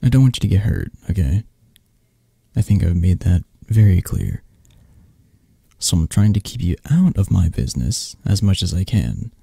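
A man playing a possessive, tender, flirtatious mafia boss in an intimate, soft-spoken ASMR roleplay, murmuring and coaxing with hesitant phrasing. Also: low-pitched, very animated, normal speed, vocal fry, husky, dry recording, clean studio sound, heavily acted. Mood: fond, guarded.

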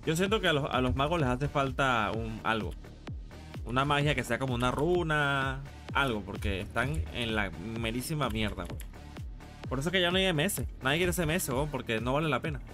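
A man's voice: medium pitch, lively intonation, fairly quick, a bit bright. Latin American man streaming over music. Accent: Latin American Spanish. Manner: informal, slangy, swears casually. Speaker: game streamer. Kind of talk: casual live gaming stream chat.

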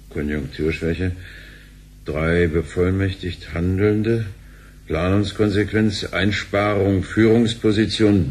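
A man playing an excitable, chummy businessman in a radio drama, with a theatrical way of talking. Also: sits low. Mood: flustered. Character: jovial, excitable sidelined businessman with gambling weakness. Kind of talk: conversational radio drama acting.

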